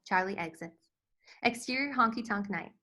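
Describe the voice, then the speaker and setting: A woman's voice: mid-range voice, lively intonation, normal speed, bright, forceful tone. Woman narrator reading screenplay directions, scripted, mid-range voice. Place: virtual table read over video call.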